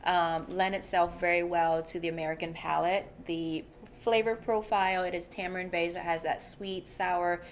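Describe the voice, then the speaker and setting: A woman's voice: fairly low pitch, fairly even intonation, normal speed, a little hiss or hum. A young woman from Thailand, a native Thai speaker, answering interview questions cheerfully and fluently as a chef and restaurant founder.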